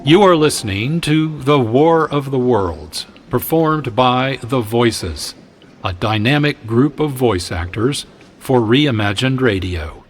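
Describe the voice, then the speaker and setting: A man hosting a radio drama, introducing it in a measured, formal scripted style. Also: mid-range voice, expressive, faint music behind. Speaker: host and announcer.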